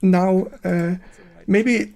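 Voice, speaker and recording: A stream host who is a native German speaker, talking in hesitant, halting English with a German accent and repeating words. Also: medium pitch, fairly even intonation, creaky, a little mellow. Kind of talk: livestream interview in non-native English.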